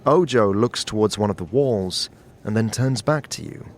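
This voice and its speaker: A man narrating a dramatic second-person story in a measured, atmospheric manner. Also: on the low side. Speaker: narrator and lead player.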